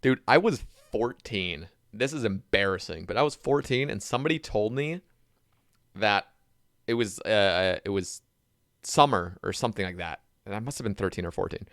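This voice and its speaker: Dude, pretty low voice that swings all over, swears a ton, dry sound. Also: male voice, moderate pace, creaky, no room sound. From Oregon, United States. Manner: animated storyteller, swears often, laughs at himself. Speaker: podcast guest. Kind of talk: casual chat.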